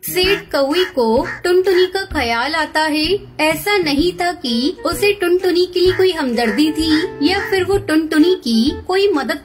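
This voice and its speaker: A woman reading scripted storytelling narration as the narrator of a tale. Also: very high-pitched.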